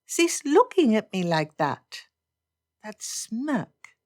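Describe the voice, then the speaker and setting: Casting: woman narrator as spirited, stubborn young gentlewoman, voice lifted, impassioned and emphatic, formal period romance audiobook, dry recording. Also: on the high side, sing-song, slow, dry recording, voice pushed up. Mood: indignant.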